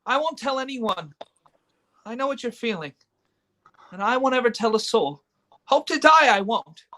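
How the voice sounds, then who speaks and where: Earnest young boy, acted by a woman, anxious, stammering, bright and animated, radio drama. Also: fairly high pitch, normal speed. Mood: gentle. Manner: stammering, pleading. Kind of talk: radio play acting.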